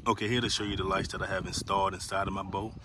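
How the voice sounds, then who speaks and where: Low-voiced man, casual walkthrough. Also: level tone, rapid-fire. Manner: plain, step-by-step explaining. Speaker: boat-owner hobbyist giving a walkthrough. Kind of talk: casual how-to vlog narration. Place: outdoors in a grassy backyard. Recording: outdoor background noise.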